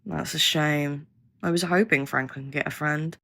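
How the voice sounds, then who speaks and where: A woman's voice: deep voice, steady tone, normal speed, vocal fry, heavy background noise. A woman acting a jaded, blunt young creature researcher in an audio roleplay, with a sardonic, grudging manner. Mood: dry.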